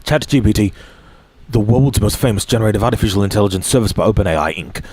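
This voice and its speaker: A man with a somewhat low voice reads articles aloud for his stream. He stumbles, restarts phrases and clears his throat often. Now and then he drops a dry, offhand comment between quotes. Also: animated, quick pace, a little mellow, no room sound. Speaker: streamer reading news aloud. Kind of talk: reading articles aloud with asides.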